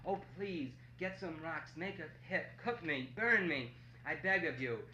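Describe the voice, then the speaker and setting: Guy doing a wily desperate monkey, begging over and over, high voice, echoey folk tale recording. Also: measured pace, pushed and bright. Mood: pleading. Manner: urgent, repetitive begging. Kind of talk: oral folk-tale character voice. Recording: muffled, noisy, echoey room recording.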